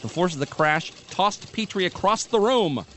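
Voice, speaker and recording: Brief: male news co-host, dry deadpan quips, faint hiss underneath. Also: high-pitched, moderate pace. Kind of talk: reading news items with banter.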